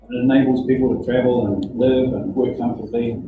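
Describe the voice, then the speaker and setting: A man's voice: mid-range voice, steady tone. A middle-aged man with a New Zealand accent hosting a live-streamed panel chat, filling his speech with ums and uhs. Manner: halting, many ums and uhs. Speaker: host. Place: teaching workshop at a technical institute. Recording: echoey room, distant mic, dull sound, music behind.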